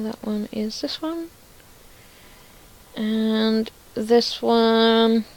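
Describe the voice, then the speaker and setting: A woman's voice: on the high side, a bit bright, rough recording. A woman giving casual screen demo commentary as a creator showing her own project, rambling and thinking aloud with some self-deprecation.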